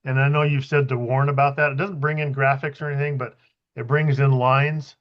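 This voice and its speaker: A man's voice: mid-range voice, level tone, brisk. A man giving a casual, tentative explanation in an online group meeting over a video call. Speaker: presenter sharing job-search tool experience. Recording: slightly muffled video-call audio.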